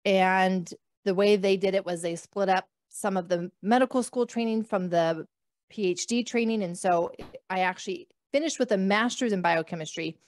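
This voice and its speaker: A woman's voice: mid-range voice, moderate pace, no echo. Earnest woman telling a personal story. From northern United States, near the Canadian border. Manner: earnest, warm storytelling with many fillers. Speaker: guest, physician. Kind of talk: interview on a video call. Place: small room at home. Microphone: podcast mic on a video call.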